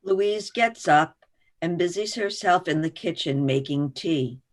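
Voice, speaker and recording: A woman's voice: lowish voice, unhurried. A woman reading stage directions as scripted narration for a play.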